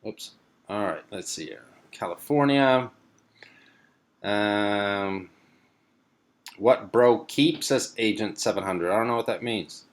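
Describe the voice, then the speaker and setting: A young man from Sweden hosting a live stream, casually reading viewer comments and answering them in a relaxed, rambling way with plenty of fillers. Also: lowish voice, slow, long pauses.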